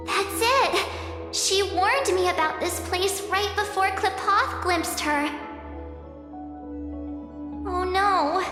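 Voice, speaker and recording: Very high-pitched, flustered anime girl. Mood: puzzled, excited, then worried. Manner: expressive, exclamatory, hesitant. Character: perky, naive young girl adventurer, easily flustered. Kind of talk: anime game voice acting. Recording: echoey long reverb, loud music.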